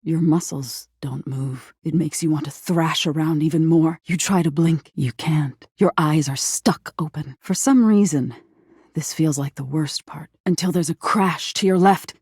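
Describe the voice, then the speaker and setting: An ominous living nightmare from a video game, female and intimate. The voice is low and dark, with a husky, breathy grain that sinks toward a whisper. The delivery is slow, deliberate and tender, like dreadful bedtime narration, recorded very dry and close. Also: no room sound, full character voice. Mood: ominous, hushed. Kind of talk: scripted dramatic game narration.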